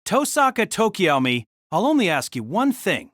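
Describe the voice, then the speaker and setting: Bitter accuser male actor, high voice with lively swings, agitated, audio drama. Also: normal speed, no echo, clean studio sound, exaggerated voice. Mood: bitter demanding. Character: bitter, agitated man confronting a hated rival.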